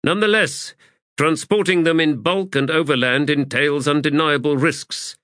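A man's voice: a little high, fairly even intonation, normal speed, a bit bright, no echo, clean studio sound, voice pushed up, natural voice. A man voicing a commanding, exasperated army commander in a formal, clipped audiobook performance. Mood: dry, formal. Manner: formal, clipped, authoritative oratory. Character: commanding, exasperated male army commander addressing his troops. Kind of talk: audiobook character acting.

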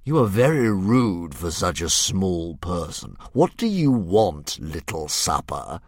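A huge, vain male dragon, as a male reader puts him on, drawling every word with grand condescension. His low voice swoops widely as he muses, close and dry with no room around it. He sounds cheerfully menacing, savouring his own philosophy. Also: big pitch swings, dry recording, full character voice. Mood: exasperated. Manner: slow, drawn-out, grandly condescending. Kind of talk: audiobook character voice.

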